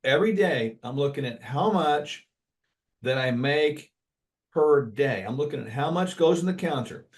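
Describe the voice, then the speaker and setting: A man's voice: fairly high pitch, expressive, unhurried. A man in casual business podcast talk, earnest and agreeable, speaking in catchy sayings.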